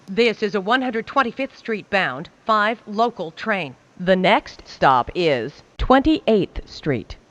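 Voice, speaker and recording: Female, subway announcer, slow pace, varied intonation, scripted, carefully enunciated phrases. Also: medium pitch, animated, a bit bright. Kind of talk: scripted automated transit announcements.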